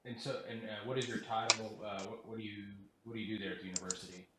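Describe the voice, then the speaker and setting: Echoey lowish-voiced man hosting an interview. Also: little pitch movement. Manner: friendly, halting questions with fillers. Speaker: interview host. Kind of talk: casual interview. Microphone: video call. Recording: very echoey room with noise.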